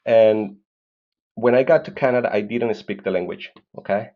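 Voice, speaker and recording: A man's voice: on the low side, moderate pace, muffled recording. A man from Colombia with a Colombian Spanish accent, telling his story as an interview guest in an earnest way, often repeating words and checking in with "right?".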